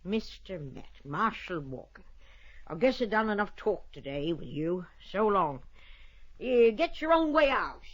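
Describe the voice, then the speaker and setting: A woman's voice: mid-range voice, sing-song, a little slow, a little hiss or hum. Crusty old frontier woman, dull sound. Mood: guarded. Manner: folksy, evasive, muttering and sassy. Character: crusty, cagey old boarding-house woman of the Wild West. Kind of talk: old radio western acting. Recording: dull old radio recording.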